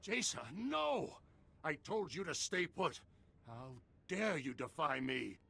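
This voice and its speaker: Gravelly, desperate old master. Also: male voice, a little high, sing-song, bright, forceful tone, heavily acted. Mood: outraged. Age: older. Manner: dramatic, slow, pleading and scolding. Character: anguished, scolding old male Jedi master, desperate. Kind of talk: video game voice acting.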